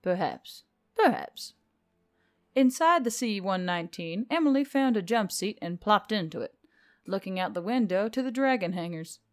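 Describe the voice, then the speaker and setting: A woman's voice: mid-range voice, expressive, moderate pace. A woman reading scripted fiction as an audiobook narrator. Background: music.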